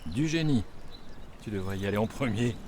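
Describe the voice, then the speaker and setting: A man's voice: lowish voice, animated, normal speed, lightly acted. A man playing a skeptical, sardonic but loyal soldier in a war video game. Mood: dry, sardonic. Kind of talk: cinematic war game dialogue. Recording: loud outdoor battlefield noise.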